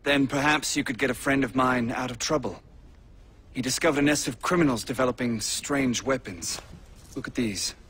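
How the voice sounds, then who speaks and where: An actor playing a courteous, formal older army colonel in a video game. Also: male voice, mid-range voice, fairly bright tone, husky. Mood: earnest. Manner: formal, measured. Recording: game audio with music behind.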